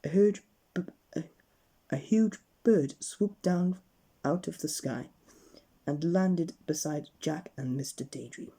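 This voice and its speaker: A woman reading a bedtime story aloud with expressive, dramatic narration. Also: sits low, takes it slowly, slightly rough.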